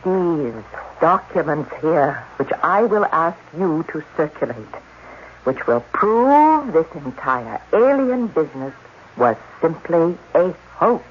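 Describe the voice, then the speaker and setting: A man's voice: a little high, big pitch swings, slow. Intense cunning scientist man, old radio play, voice jumps all over, muffled and hissy. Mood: calculating, insistent. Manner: intense, dramatic, insistent. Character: impassioned, cunning male scientist, protective and persuasive. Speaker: actor. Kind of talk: 1980s radio drama acting. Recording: dull, noisy old radio recording.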